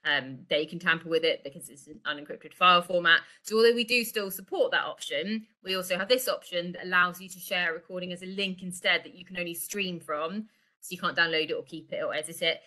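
Bright-voiced woman presenting, on the low side. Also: quick pace. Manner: explanatory, conversational. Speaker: product presenter. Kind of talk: informal online sales presentation. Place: indoor room, online video call. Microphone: video call microphone.

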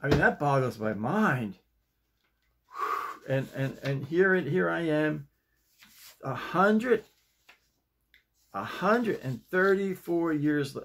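An American man in his sixties chatting casually to camera in a halting, digressive way, often repeating his phrases. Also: a little high, animated, a bit bright, a little hiss or hum. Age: 60s. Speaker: vlogger. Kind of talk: rambling casual chat to camera. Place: small home office, indoors.

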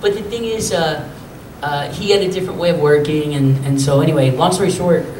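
A man with a high, boyish voice talks to a convention crowd in an animated, joking way. He sits at a table mic in a meeting room. The recording is roomy and noisy, picked up from a camera well back in the hall. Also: a little fast, far from the mic. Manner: animated, jokey storytelling with bursts of laughter. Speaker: voice actor at a convention panel. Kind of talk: casual panel talk answering fan questions. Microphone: table mic on stand.

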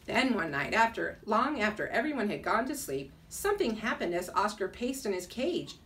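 A middle-aged woman teacher reading a children's storybook aloud in an expressive way. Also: mid-range voice, moderate pace, a little hiss or hum. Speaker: second grade teacher reading a bedtime story. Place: small school room, indoors. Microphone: camera a few feet away. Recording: distant mic in a room.

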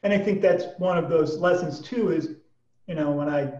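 Higher-voiced American man on an echoey call. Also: moderate pace, a little mellow, highs cut off. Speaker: auction executive, podcast guest. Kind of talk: conversational interview answers. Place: small plain room indoors. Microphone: computer mic on video call. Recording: boxy, echoey video call, distant mic.

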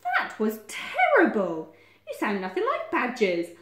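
A woman acts out a self-important male badger from a children's storybook. He is bossy and cheerfully dismissive, stressing and stretching his words. The delivery swoops high and low in an echoey room. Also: very animated. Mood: brisk, dismissive. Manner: emphatic, chanting, drawn-out bossy delivery. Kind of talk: children's storybook read-aloud.

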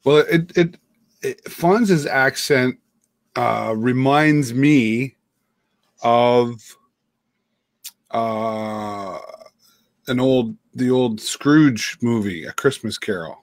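A middle-aged man co-hosting a casual video call, talking with animated gestures and frequent restarts. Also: mid-range voice, extremely slow, long pauses, no room sound. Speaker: co-host and teacher. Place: home room with framed pictures. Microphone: webcam mic on a video call.

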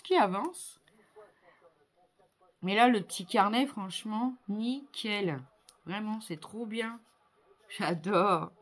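Slow-paced, effusive middle-aged woman. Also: mid-range voice, fairly bright tone. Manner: effusive, appreciative, exclamatory. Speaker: craft vlogger. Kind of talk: casual unboxing chat to viewers. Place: indoor home craft room. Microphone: phone on a tripod at arm's length.

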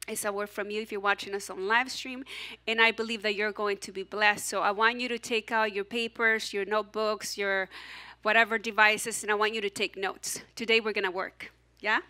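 A woman pastor in her forties with a non-native English accent, preaching a sermon from a church stage in an animated, encouraging way and prompting the congregation to repeat after her. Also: mid-range voice, normal speed. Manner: animated, encouraging, audience call-and-response. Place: church stage, large hall.

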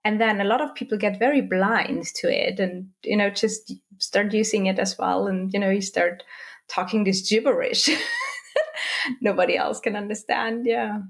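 A woman in her thirties whose first language is German, hosting an interview over a video call from a home office, friendly and quick to laugh, with many "you know"s. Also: medium pitch, steady tone. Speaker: podcast host. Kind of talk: casual interview conversation. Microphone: video call with wired earphones.

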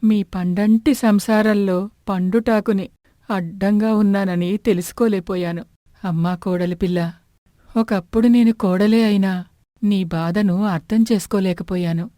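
Elderly village mother-in-law, voiced by an actress: dark, mellow tone, gentle reproach, cartoon moral tale, dry recording. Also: medium pitch, fairly even intonation, unhurried, dry recording, natural voice. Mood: forgiving, hurt. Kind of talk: animated moral story acting.

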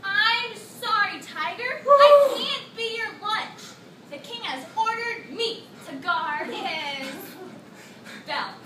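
A woman playing a small, quick-witted, tricky female mouse deer in children's readers theatre, with exaggerated mock innocence. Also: very high-pitched, animated, full character voice. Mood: apologetic, sly. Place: classroom, indoors. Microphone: distant camera. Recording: echoey classroom from far, noisy.

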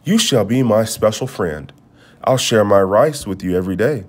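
A man's voice: on the low side, lively intonation, normal speed, darkish tone. A man reading the part of a kind young girl in a folktale, tender and friendly.

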